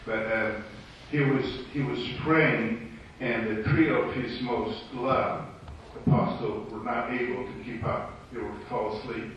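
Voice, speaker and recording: A man's voice: medium pitch, steady tone, a little slow, few pauses, fairly bright tone, dull audio, a little hiss or hum. A man with a Romanian accent, a native Romanian speaker, sharing his thoughts hesitantly in a group Bible study, recorded far off in an echoey room. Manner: hesitant, searching for English words. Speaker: participant.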